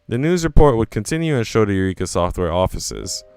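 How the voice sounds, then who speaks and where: A man's voice: lowish voice, big pitch swings, a little fast, darkish tone, clean tone. A man voicing an anxious young woman who narrates her troubles in a visual novel, dramatic and self-questioning. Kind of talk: visual novel first-person reading.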